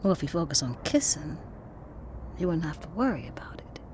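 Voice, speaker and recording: Bold, protective woman with lively low-ish pitch. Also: a little fast, a little hiss or hum. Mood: wry. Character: bold, protective woman activist, Ella, kindly to strangers. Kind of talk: naturalistic audio drama acting.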